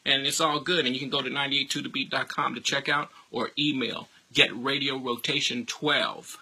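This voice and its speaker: A middle-aged American man with a husky voice giving an emphatic, informal promotional pitch in street slang. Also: fairly even intonation, normal speed, pushed and bright, poor audio. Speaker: radio promoter and DJ.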